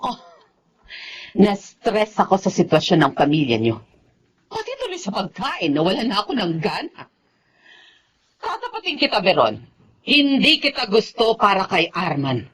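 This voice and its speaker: A woman's voice: mid-range voice, huge pitch swings, normal speed, long pauses, breathy edge. An actress playing a disapproving, wealthy middle-aged Filipino mother in a radio drama, blunt and theatrical with a Filipino accent. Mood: cold disdain.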